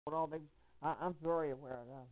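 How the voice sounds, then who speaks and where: A woman speaking as a caller on a phone conference, giving impassioned personal testimony in a halting, emphatic way, repeating phrases for weight. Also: sits low, steady tone. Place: telephone conference call. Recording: narrow tinny phone-line audio.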